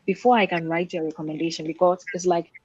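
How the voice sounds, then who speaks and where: A woman's voice: fairly low pitch, steady tone, quick pace, darkish tone, slightly rough, lo-fi sound. A woman in a casual discussion, politely chipping in and explaining her point as a panel speaker.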